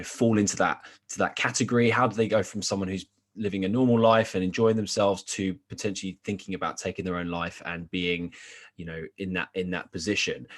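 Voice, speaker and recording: Host: low-pitched man, friendly, asks long rambling questions. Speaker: podcast host. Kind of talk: podcast interview.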